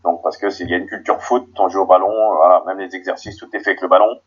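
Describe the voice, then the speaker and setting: A man from Paris, a guest on a football podcast, answering in a halting way with many restarts, heard over a remote call. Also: sits low, steady tone, husky, dull audio. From Paris, France.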